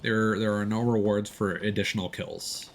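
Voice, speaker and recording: A man's voice: lowish voice, fairly even intonation, normal speed, vocal fry. A man streaming a video game, giving casual live commentary and explaining tactics step by step as he plays. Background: video game sound.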